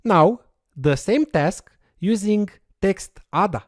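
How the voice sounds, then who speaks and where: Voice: medium pitch, lively intonation, slow pace, dry recording, clean studio sound. A course instructor whose first language is Romanian, walking through a tutorial step by step. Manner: explanatory, walks through steps aloud with fillers. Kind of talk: screen-recorded coding tutorial.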